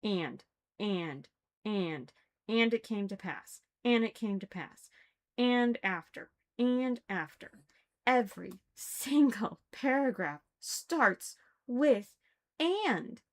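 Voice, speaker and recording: A woman giving casual, critical commentary, thinking aloud haltingly and repeating phrases for emphasis. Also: mid-range voice, animated. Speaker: reader commenting on a religious text.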